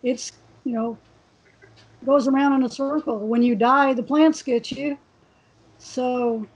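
A woman on an online panel, thinking aloud in slow, drawn-out phrases. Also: high-pitched, fairly even intonation, slightly rough. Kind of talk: casual panel discussion. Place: home room. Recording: muffled video call audio.

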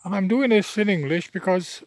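A man's voice: high voice, moderate pace, no room sound. A man in his seventies with a Welsh accent, speaking Welsh as his first language, chatting while out on a country walk and tired from walking. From Wales. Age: 70s. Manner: chatty, plain-spoken, many fillers and restarts. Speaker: local walker filming his path. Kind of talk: rambling walking vlog. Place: outdoors on a rural path by wetland. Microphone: handheld phone camera, speaker off-screen.

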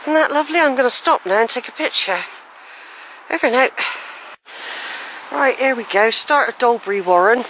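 An older woman rambles cheerfully as she walks a wooded track, talking into a handheld camera. Her voice is bright and a little wheezy, and a faint hum of the outdoors sits behind it. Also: fairly high pitch, expressive, normal speed, pauses often, poor audio. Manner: chatty, rambling, thinking aloud. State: a bit wheezy. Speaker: walking vlogger. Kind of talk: casual walking commentary. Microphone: handheld camera while walking.

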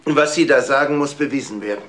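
A man's voice: medium pitch, fast talker, a bit bright, slight background noise, natural voice. An actor playing a domineering older father, a doctor under suspicion, curt and evasive, in a German crime audio play. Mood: guarded. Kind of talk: German radio crime drama acting.

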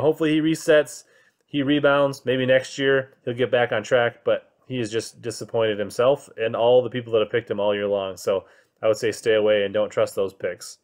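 Casting: thirties male host, relaxed and chatty, filler-heavy, mid-pitched, desk mic in a small room. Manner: relaxed, many ums and uhs, opinionated. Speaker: fantasy fishing podcast host. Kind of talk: casual picks breakdown over screen share.

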